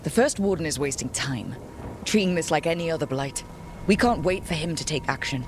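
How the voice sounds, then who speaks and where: An actress playing the determined leader of a hunting crew, speaking firmly and urgently. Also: female voice, deep voice, expressive, brisk, a little hiss or hum. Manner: firm, urgent. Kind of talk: video game cutscene acting.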